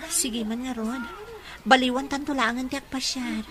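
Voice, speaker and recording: A woman's voice: high voice, fairly even intonation, normal speed, close to an everyday voice. A woman acting a young, evasive girlfriend in a radio drama, with an Ilocano accent. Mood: casual. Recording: music bed behind the voice.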